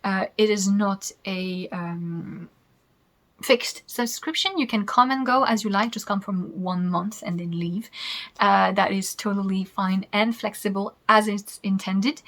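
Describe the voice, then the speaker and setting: A woman's voice: medium pitch, pushed and bright. A woman in her thirties with a French accent, a native French speaker, hosting a knitting podcast in a chatty, rambling way and laughing easily. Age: 30s. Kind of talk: casual chat to camera.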